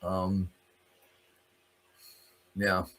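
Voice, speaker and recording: Blunt, bright-voiced man ranting. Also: low-pitched, completely flat delivery, drawn-out words. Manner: blunt, swearing freely. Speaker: host of a solo news commentary show. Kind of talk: unscripted opinionated monologue.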